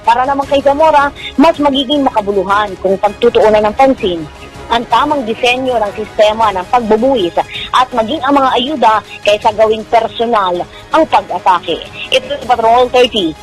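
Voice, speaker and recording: A male radio reporter giving a brisk, formal news report that ends with a sign-off tagline. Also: very high voice, soft background music, rough recording. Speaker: field reporter.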